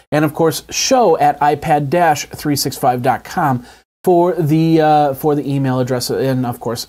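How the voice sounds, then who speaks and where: A man's voice: on the high side, darkish tone. A man hosting an app tutorial in a casual, conversational, step-by-step way. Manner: conversational, informal, explains step by step. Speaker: host of an app review web show. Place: small indoor home studio. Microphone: lapel mic.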